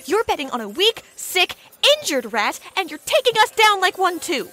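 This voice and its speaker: A woman playing a brash young agent raised on a survivalist compound, rattling off animal anatomy in an excited, bossy way, in a comedy audio drama. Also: very high-pitched, normal speed, exaggerated voice.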